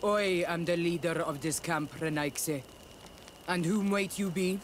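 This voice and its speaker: A man's voice: a little high, unhurried, slight background noise, natural voice. A man playing a proud, stern rebel warrior leader in a video game, speaking bluntly and solemnly. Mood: guarded, proud. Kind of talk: scripted video game cutscene dialogue.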